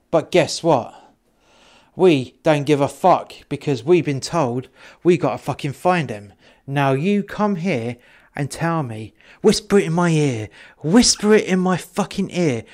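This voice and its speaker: A man's voice: fairly high pitch, lively intonation, unhurried, a little mellow. A man voicing an aggressive, threatening police officer who swears as he interrogates, in a storytelling style. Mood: menacing. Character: aggressive, bullying male police officer, foul-mouthed and threatening.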